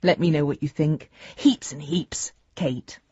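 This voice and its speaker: An actress playing an earnest, affectionate young adult daughter, reading a letter in a chatty, sincere way for a radio drama. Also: female voice, low-pitched, unhurried, lo-fi sound, background noise. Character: earnest, affectionate grown daughter writing to her father.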